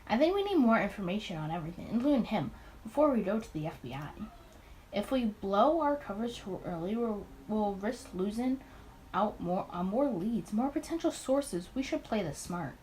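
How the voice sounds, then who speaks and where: A woman's voice: mid-range voice, big pitch swings, normal speed, a little mellow, a little hiss or hum, natural voice, low-quality recording. Woman reading as weary 1920s detective. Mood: evasive, businesslike. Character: tired, awkward male 1920s detective, narrating.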